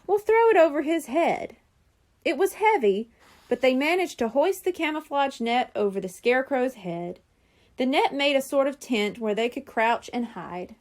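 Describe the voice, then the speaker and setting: Woman, children's book narrator, high pitch, animated intonation, expressive read-aloud. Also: normal speed. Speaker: reader of a children's chapter book.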